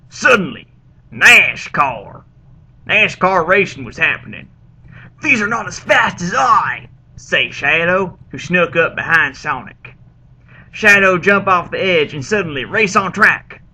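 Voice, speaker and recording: A man acting a sharp-voiced, pompous storyteller. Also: on the high side, exaggerated voice. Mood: earnest. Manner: theatrical, deliberate delivery of broken-English text. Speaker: reader of fan fiction. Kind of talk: comic dramatic reading.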